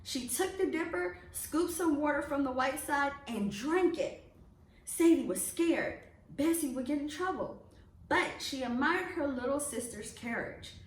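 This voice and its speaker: A woman reads a children's storybook aloud in a high, very lively voice that rises and falls widely. She gives phrases deliberate, expressive emphasis. The recording is roomy and echoey. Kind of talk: expressive read-aloud narration of a children's picture book. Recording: roomy echo, distant mic, faint noise.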